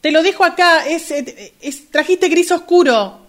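High-voiced, polite shop customer played by a woman. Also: expressive, measured pace. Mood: objecting. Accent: Rioplatense Argentine. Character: polite, exacting female customer in a fitting room. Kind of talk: radio play acting.

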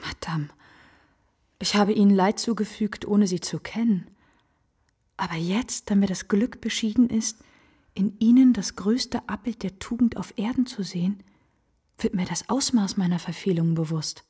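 An actress playing a worldly, self-possessed kept woman of the theatre in a radio play, courteous and theatrical, with a husky, gravelly voice. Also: mid-range voice, normal speed, mellow. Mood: contrite, courteous. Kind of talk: German radio play acting.

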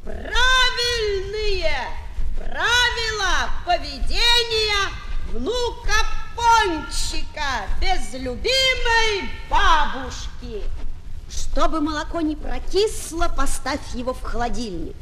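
A woman's voice: sits high, big pitch swings, slow, exaggerated voice. A woman playing a merry, childish boy clown in exaggerated singsong style for a Soviet children's radio play. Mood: earnest reciting. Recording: 1970s flexi-disc, noisy, long reverb.

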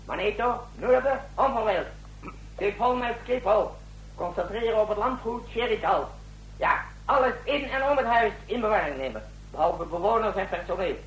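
An actor playing a brisk, wry, commanding president in a radio drama, giving curt orders in a husky voice. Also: male voice, high voice, animated, a bit bright, exaggerated voice, low-quality recording. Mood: urgent, insistent. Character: commanding, brisk, wry president rousing his generals at night.

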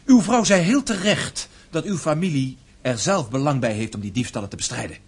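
An actor playing a polite, earnest young gentleman in a radio drama, speaking formally and persuasively. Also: fairly high pitch, expressive, a little fast, husky. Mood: courteous, firm. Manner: courteous, formal.

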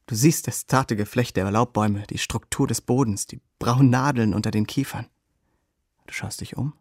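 An actor playing the watchful inner voice of a young soldier, narrating a radio drama in terse, observing phrases. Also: male voice, medium pitch, normal speed, a little mellow, no room sound, natural voice. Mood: hushed, intense. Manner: terse, present-tense, observing. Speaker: second-person narrator. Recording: studio radio play with guitar underneath.

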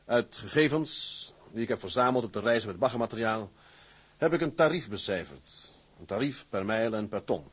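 An actor playing an ambitious, proud tugboat captain in a period drama, firm and businesslike. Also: male voice, fairly low pitch, lively intonation, normal speed, slightly rough. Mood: firm, persuasive. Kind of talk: acted period drama dialogue.

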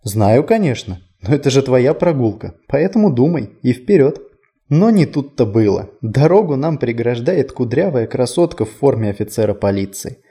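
Male audiobook narrator, first-person, wry and whimsical, warm, animated delivery. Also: mid-range voice.

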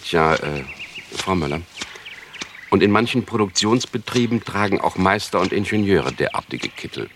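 Very deep, gravelly police inspector. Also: male voice, expressive, a little hiss or hum. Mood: courteous, wry. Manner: measured, polite, insinuating. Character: probing police chief inspector, courteous, slyly ironic man. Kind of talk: German radio crime play dialogue.

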